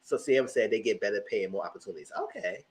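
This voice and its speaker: A man's voice: fairly low pitch, sing-song, fast talker. A man co-hosting a casual reality TV review, talking animatedly and acting out the cast members he quotes. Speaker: podcast co-host.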